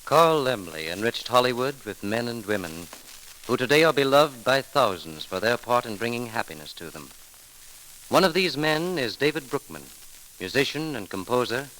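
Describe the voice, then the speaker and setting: A man announcing a memorial tribute in a formal, measured, solemn 1930s radio style, on a vintage broadcast recording. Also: mid-range voice, animated, bright, forceful tone, slight background noise, rough recording. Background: music.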